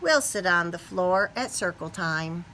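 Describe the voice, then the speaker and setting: A storybook primary teacher, polite and gently firm, instructing her class at a slow pace, as a woman reader plays her. Her voice is bright, with faint noise behind it. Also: lowish voice, animated. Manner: instructing children, polite and measured. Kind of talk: children's picture book read-aloud.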